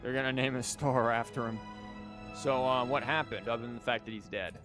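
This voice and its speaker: A man's voice: mid-range voice, fairly even intonation, fairly quick. Wry man streaming a video game. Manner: wry, joking. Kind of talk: casual live gameplay commentary. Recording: game music behind the voice. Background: video game music.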